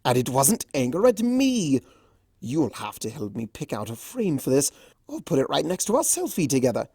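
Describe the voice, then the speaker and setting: A narrator voicing a flamboyant, teasing male chimera trickster in a fan storybook reading, smug and theatrical with drawn-out emphasis. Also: mid-range voice, huge pitch swings, dark tone, husky, dry recording, studio quality, exaggerated voice. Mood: gleeful.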